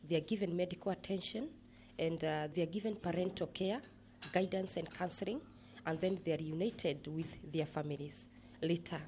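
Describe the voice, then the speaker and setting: A woman with a Kenyan accent explaining her work in an interview, speaking in a measured, earnest way with pauses. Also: lowish voice, a little mellow, slight background noise. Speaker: interviewee, project manager at a rehabilitation center.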